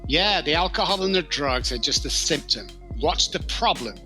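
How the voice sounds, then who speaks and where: A man's voice: on the high side, lively intonation, over music. A man with a Manchester accent, a podcast guest talking emphatically about addiction recovery and acting out short exchanges. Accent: Manchester English. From England. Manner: emphatic storyteller, acts out quick dialogue. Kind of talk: podcast interview.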